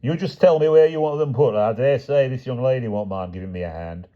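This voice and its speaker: A man's voice: medium pitch, brisk, mellow, highs cut off, voice pushed up, natural voice. A male narrator voicing a chatty, breezy delivery van driver.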